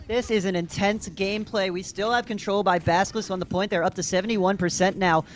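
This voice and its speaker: A man doing energetic play-by-play commentary for an online esports tournament. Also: high-pitched, fairly quick, faint music behind. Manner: energetic, rises to excited shouts in big plays. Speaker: play-by-play caster.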